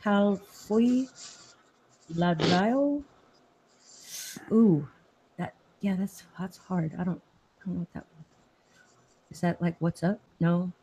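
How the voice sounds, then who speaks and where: A woman's voice: lowish voice, slow pace, pauses often, warm tone, slight background noise, poor audio. A woman from Austin, Texas, hosting a casual live chat and reading viewer comments aloud in a chatty, easily sidetracked way.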